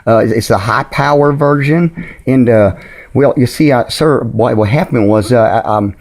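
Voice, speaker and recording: A sheepish, muddled middle-aged drinker played by a man on a prank phone call. He stumbles and repeats himself in a mid-pitched voice, politely apologizing as he rambles along. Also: moderate pace, a little mellow, natural voice. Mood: nervous, rambling. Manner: stammering, hesitant, repeats words, overly polite. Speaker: prank caller.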